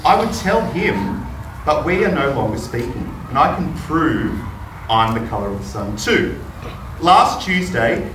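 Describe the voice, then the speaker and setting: A man voicing a proud, stubborn yellow crayon in a storybook read-aloud, boastful and insistent. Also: fairly high pitch. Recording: distant, roomy classroom with noise.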